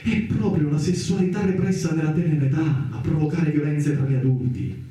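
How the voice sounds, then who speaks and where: A man's voice: a little high, fairly quick, warm tone, lightly acted. An actor playing an idealistic, impassioned male doctor in a theatrical radio drama, arguing in an earnest, rhetorical way. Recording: echoey, distant, roomy sound.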